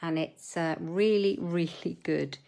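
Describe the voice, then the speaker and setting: A woman's voice: lowish voice, steady tone, takes it slowly, vocal fry, dark tone. A middle-aged woman hosting a live crafting demo from her desk at home, chatting with viewers and muttering asides as she works. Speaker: papercraft host.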